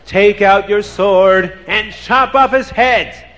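A man voicing an imperious Tsar who screams commanding, drawn-out questions at his soldiers, in a storytelling performance. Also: high voice, a bit bright. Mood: stern, commanding. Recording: long hall reverb.

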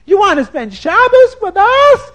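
A man voicing a shrill, panicky woman who shrieks warnings in a drawn-out way. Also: sing-song, a bit bright, exaggerated voice. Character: shrill frantic woman, a fierce man's wife.

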